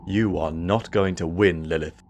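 A man playing a solemn, tender older royal father in an amateur audio drama, speaking in a grave, halting way. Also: low voice, fairly even intonation, a little mellow, slight background noise. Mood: weak, grave.

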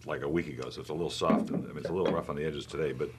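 A man's voice: a bass voice, steady tone, very fast, heavy background noise. A middle-aged man hosting a casual wine tasting in a wine shop, teasing his co-host and rambling enthusiastically about the bottles. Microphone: overhead boom mic.

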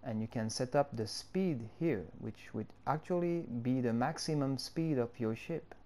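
A man's voice: mid-range voice, mellow. A man whose first language is French, speaking English with a French accent and walking through a game-editor tutorial step by step. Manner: unhurried, explaining step by step with fillers. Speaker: tutorial creator.

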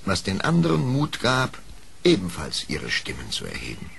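A man narrating a scripted fairy tale in an expressive, measured storytelling manner. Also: low-pitched, creaky, very noisy.

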